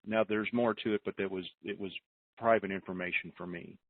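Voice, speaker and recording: Casting: American male conference-call host, lowish voice, repeats words for emphasis, thin telephone sound. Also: steady tone, a little fast, no echo. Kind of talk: informal talk to callers on an investment conference call. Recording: narrow, tinny phone line.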